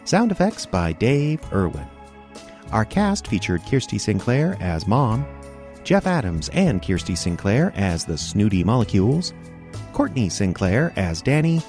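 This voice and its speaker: A man's voice: fairly low pitch, a little mellow. A man reading scripted credits and sponsor announcements as a radio announcer.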